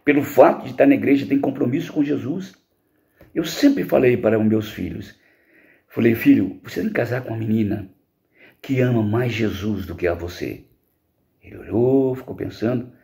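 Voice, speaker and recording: A man's voice: medium pitch, moderate pace, vocal fry, warm tone. A middle-aged man with a Brazilian accent teaching an informal Bible lesson to teens as a pastor, didactic and emphatic, often posing questions and answering them himself.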